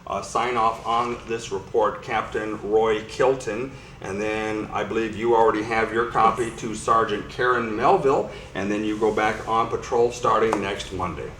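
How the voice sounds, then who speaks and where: A man's voice: fairly low pitch, fairly even intonation, moderate pace, hardly any pauses, fairly bright tone, echoey room, far from the mic, a little hiss or hum, close to an everyday voice, rough recording. A man playing a police captain delivering official news in an amateur sketch.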